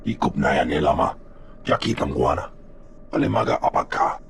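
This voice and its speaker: A man's voice: rumbling low, expressive, moderate pace, slight background noise, exaggerated voice. A man voicing an alien intelligence profiler in a guttural alien tongue for a video game. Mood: matter-of-fact. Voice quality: gravelly, guttural.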